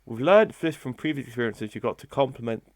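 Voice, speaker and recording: Fast-talking joking male gamer. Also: medium pitch, animated, dry recording. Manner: joking, mocking, reads the options aloud. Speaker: gaming host. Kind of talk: let's play commentary.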